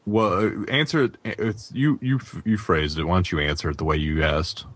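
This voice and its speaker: A man's voice: low voice, steady tone, normal speed, fairly bright tone, dry recording. A man hosting a casual gaming podcast discussion. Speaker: podcast host.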